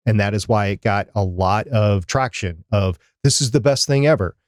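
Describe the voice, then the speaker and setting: A man's voice: lowish voice, moderate pace, dry recording. A man co-hosting a podcast in casual, opinionated chat, repeating words for emphasis, on a close microphone. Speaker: podcast co-host. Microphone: close studio microphone.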